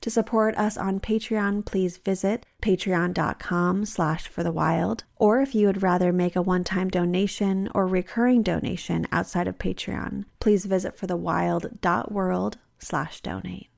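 A woman's voice: lowish voice, steady tone, measured pace, vocal fry, few pauses, darkish tone, big-room sound. A woman hosting a podcast, reading sponsor notes and a guest bio, then interviewing in an earnest conversational way in a cafe. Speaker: podcast host. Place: cafe, live recording.

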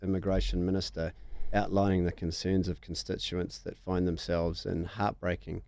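A man with a New Zealand accent, speaking as a member of parliament on a radio panel, measured and earnest. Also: low-pitched, level tone, gravelly, a little mellow, dry recording.

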